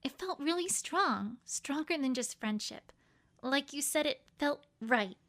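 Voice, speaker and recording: A woman's voice: sits high, expressive, a little slow, a bit bright, full character voice. A woman voicing an earnest, bookish young female unicorn in a cartoon-pony story, explaining things carefully and a little formally. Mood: earnest, bashful.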